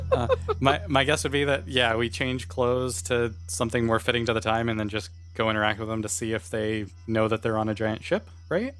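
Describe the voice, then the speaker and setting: Guy in an rpg session, expressive voice, wry and casual, faint music. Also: mid-range voice, moderate pace. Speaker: tabletop game player. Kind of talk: casual chat.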